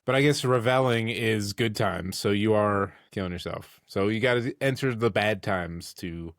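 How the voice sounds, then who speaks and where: A man's voice: on the low side, moderate pace, no echo, clean studio sound. A young man co-hosting a podcast, cracking puns and goofing around in casual banter. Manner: goofy, punning. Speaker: podcast co-host.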